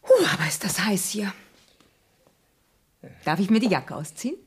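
Breathy, very animated seductress. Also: female voice, medium pitch, normal speed. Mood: flirtatious. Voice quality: breathy, husky. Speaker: actor. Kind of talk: 1970s radio drama acting.

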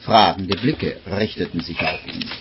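A man narrating a scripted radio play as a measured, wry storyteller. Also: low voice. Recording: muffled old radio recording, faint noise.

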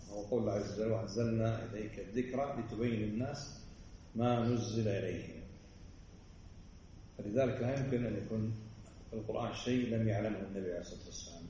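A male religious teacher giving an explanatory lesson, often checking understanding with quick asides. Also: on the low side, warm tone, a little hiss or hum. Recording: roomy, distant mic, faint ambience.

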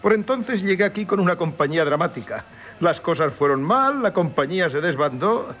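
Radio play role: courteous, talkative painter, Castilian accent, animated and highish delivery, old dull tape. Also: male voice, fairly quick. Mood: chatty, affable. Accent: Castilian Spanish. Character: chatty, courteous male painter, long settled in town. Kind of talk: 1970s Spanish radio drama acting. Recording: dull, noisy 1970s radio recording.

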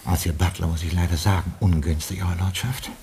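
An actor playing a formal, loyal, pedantic castle butler in a radio play, speaking with ceremonious dry dignity. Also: male voice, deep voice, steady tone, a little fast, gravelly, darkish tone, a little hiss or hum. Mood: deferential, dry. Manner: dignified, ceremonious, dryly correcting. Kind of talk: theatrical radio drama storytelling.

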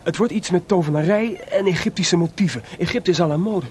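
Radio drama: proud, feverish male composer, played by a man, higher register, impassioned and rhetorical, slight background noise. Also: a little fast, darkish tone, natural voice. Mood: excited, boastful. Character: feverishly excited male composer, sleepless, proud, newly feeling free.

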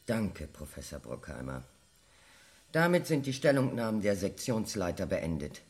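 A man's voice: deep voice, sing-song, normal speed. An actor playing a formal, officious chairman of an official hearing in a radio drama.

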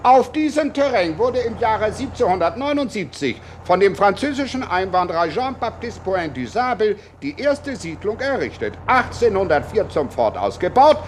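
Fussy tour guide, very high swooping voice, over-polite, declamatory, radio comedy, street noise behind. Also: male voice, sing-song, normal speed, hardly any pauses. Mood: grandly declamatory. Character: fussy, obsequious, flustered male city tour guide. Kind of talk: satirical radio play acting.

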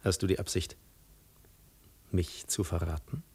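An actor playing a suave, self-assured adventurer who poses as a prince, ironic and patronizing, in 1950s German radio drama style. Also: male voice, low voice, steady tone, measured pace, darkish tone, slightly rough, full character voice. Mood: cool, confident.

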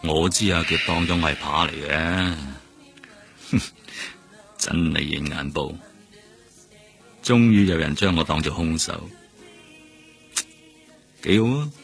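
A seasoned Hong Kong police detective with a very deep voice. He talks in blunt, slangy Cantonese with a wry, world-weary mentor's manner. It is radio drama, with faint music underneath. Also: takes it slowly, gravelly, pauses often, fairly bright tone, full character voice. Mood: wry, resigned.

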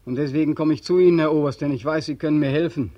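An actor playing a weary, haunted ex-soldier in a 1940s radio drama, pleading and obsessively repeating himself. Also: male voice, on the high side, steady tone, very fast, no echo, highs cut off, close to an everyday voice. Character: weary, haunted ex-soldier back from war, insistent.

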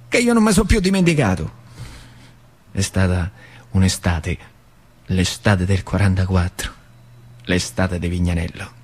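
An actor playing an old working-class man from a factory town, telling his youth in earthy, repetitive, colloquial theatrical monologue with a central Italian accent. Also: lowish voice. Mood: nostalgic, vivid. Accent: central Italian, northern Lazio. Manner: animated, earthy, conversational, repeats phrases. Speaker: stage actor performing a monologue. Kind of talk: theatrical first-person storytelling in colloquial dialect.

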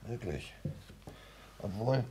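An actor playing a frail, fussy barber lodging in a household, politely stilted, in a 1960s German radio play. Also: male voice, lowish voice, lively intonation, slow pace, warm tone. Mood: polite, hesitant. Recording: faintly noisy 1960s radio recording.

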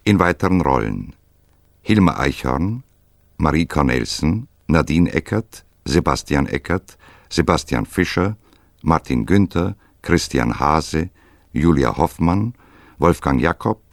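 A man reading formal scripted credits for a radio play in a measured, matter-of-fact way. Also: rumbling low, no echo, studio quality. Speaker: credits announcer.

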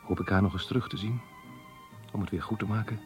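Casting: weary, self-doubting husband and schoolmaster played by a man, radio drama, deep restrained voice. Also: fairly even intonation, quick pace, over music, lightly acted. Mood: self-doubting, remorseful. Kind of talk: Dutch radio play acting and monologue.

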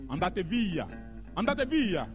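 A man's voice: sits high, very animated, takes it slowly, big-room sound, over music, heavily acted. A man playing a mocking theatrical orator in a radio drama, declaiming with insistent, incantatory repetition. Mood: solemn, ominous. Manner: incantatory, repeating phrases insistently.

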